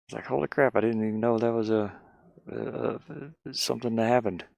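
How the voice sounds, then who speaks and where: A man's voice: lowish voice, level tone, a little fast, gravelly, a little mellow, no room sound. A man giving casual think-aloud commentary as he works on a game, rambling and muttering to himself with repeated words. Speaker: game developer streaming his work.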